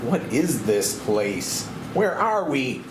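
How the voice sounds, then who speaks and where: Man as an anxious ex-pilot, wildly swinging pitch. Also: big pitch swings, takes it slowly. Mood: bewildered. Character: anxious, defeatist male former war pilot, melodramatic. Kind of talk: screenplay table read. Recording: echoey room with background noise.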